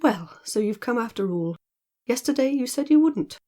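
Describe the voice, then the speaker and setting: A woman as a mellow, theatrical, teasing gentleman. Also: fairly high pitch, expressive, moderate pace, pitched above the performer's own voice. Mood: breezy, lively. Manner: theatrical, rhetorical, playful exclamations. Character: teasing, lazy, mock-solemn educated man with mellow laugh. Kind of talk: audiobook character dialogue.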